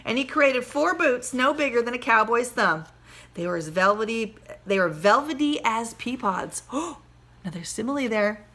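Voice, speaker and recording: Female storybook reader, teacherly and expressive, higher-pitched with a very bright tone. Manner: animated, teacherly, pauses to question listeners. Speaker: primary school teacher reading aloud. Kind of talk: expressive children's storybook read-aloud.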